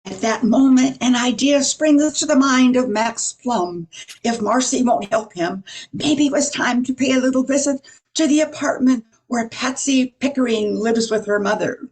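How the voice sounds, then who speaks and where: High-pitched older female narrator. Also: normal speed, a little mellow. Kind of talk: scripted radio drama narration.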